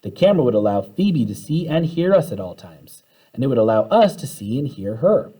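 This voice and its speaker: Guy doing a kids read-aloud, dramatic storytelling, very lively pitch, soft slightly husky voice. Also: fairly quick, rough recording. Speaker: story reader. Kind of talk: children's read-aloud narration.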